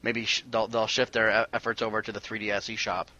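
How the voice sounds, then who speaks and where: A man's voice: on the low side, flat delivery, fast, bright, forceful tone, lo-fi sound. A man in his early thirties hosting a casual podcast discussion about video games. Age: early 30s. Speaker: podcast host.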